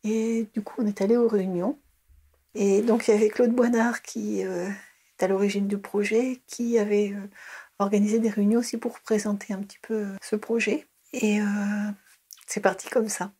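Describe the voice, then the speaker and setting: A woman's voice: mid-range voice, flat delivery, normal speed, mellow, husky. A middle-aged woman recalling her school years in a casual interview, hesitant, with many fillers and restarts. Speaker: interviewee, early childhood educator.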